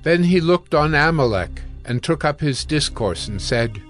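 A man's voice: a little high, animated, unhurried, a bit bright, faint music behind. A man giving scripted narration as the narrator of a dramatized scripture reading.

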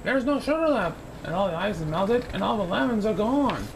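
An eager little frog boy from a storybook , played by a man, pitched high and very animated. He is scatterbrained and exclaims excitedly. The home recording has clear noise. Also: fairly quick. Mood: flustered.

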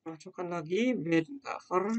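A man's voice: high-pitched, unhurried. A man teaching a screen-recorded coding tutorial, talking casually and thinking aloud with frequent fillers as he demonstrates. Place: at a computer, voice-over on screen recording.

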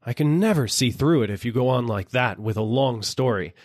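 A man voicing a sly little storybook jackal who acts slow-witted, vacant and overly apologetic. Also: medium pitch, animated, dry recording, clean studio sound, pitched above the performer's own voice, lightly acted. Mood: fussy, befuddled. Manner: vacant, apologetic, exaggeratedly puzzled.

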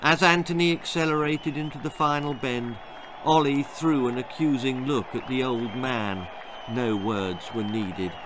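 An older man reading his children's story aloud in an expressive, dramatic narrating style. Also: mid-range voice, measured pace, a crowd in the background, low-quality recording. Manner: expressive, theatrical. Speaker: author reading own story. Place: small book-lined room indoors.

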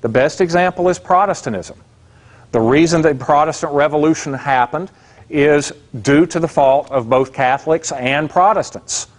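A man's voice: fairly high pitch, moderate pace. A man giving a casual classroom lecture as an adult religion class instructor, plainspoken and conversational. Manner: conversational, plainspoken, many fillers and asides. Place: church classroom.

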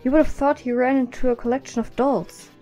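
A woman's voice: high voice, fairly even intonation, fairly quick, warm tone, faint music behind. A woman narrating a scripted story as the narrator of an audio drama.

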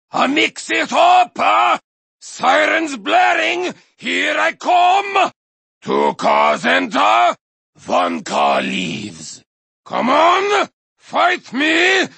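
An actor playing a crazed, bloodthirsty male Viking warrior in a racing game, shouting gravelly taunts and battle cries with manic cackles. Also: extremely high pitch, no room sound, full character voice. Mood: menacing growl. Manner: boastful, taunting battle cries, sudden drops to a menacing growl. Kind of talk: video game character voice lines.

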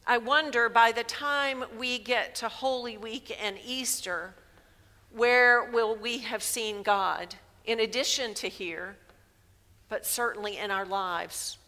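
A woman in her sixties preaching a sermon in a church sanctuary through a headset mic, measured and emphatic. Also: a little high, steady tone, pushed and bright. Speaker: pastor. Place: large church sanctuary, indoors. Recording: long reverb of a church hall.

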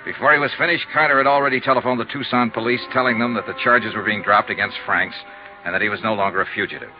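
An actor in a 1950s radio drama playing a no-nonsense insurance investigator with a clipped, businesslike manner. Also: male voice, fairly low pitch, steady tone, fast talker, over music, lightly acted. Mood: brisk, matter-of-fact. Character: brisk, probing, no-nonsense male insurance investigator. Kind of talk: 1950s radio acting, dialogue and narrated report. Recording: muffled, noisy 1950s radio recording.

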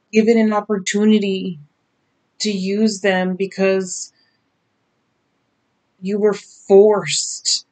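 Woman, 30s, raspy tired voice, slow emphatic pacing, card reading, small room. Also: medium pitch, a little mellow, low-quality recording. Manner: slow, emphatic, drawn-out warnings. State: raspy from lack of sleep. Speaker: tarot reader. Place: small decorated bedroom indoors.

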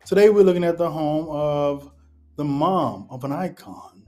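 Man host, rambling casual chat, slightly high voice, faint music behind. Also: measured pace, darkish tone. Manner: chatty, rambling, thinks aloud. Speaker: real estate channel host. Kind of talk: casual video commentary.

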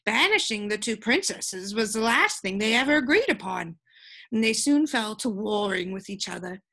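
An old witch of a storybook, acted out by a woman, motherly and resigned. She talks haltingly at a middle pitch, reassuring even as she gently scolds. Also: vocal fry, full character voice. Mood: sad, resigned.

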